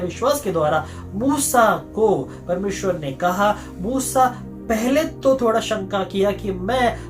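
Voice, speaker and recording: A male pastor giving an earnest Bible teaching sermon, repeating phrases for emphasis. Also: high-pitched.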